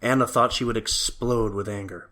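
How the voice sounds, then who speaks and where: A man's voice: on the low side, fairly even intonation, normal speed, pushed and bright, a little hiss or hum. A man reading scripted story narration for an audio drama.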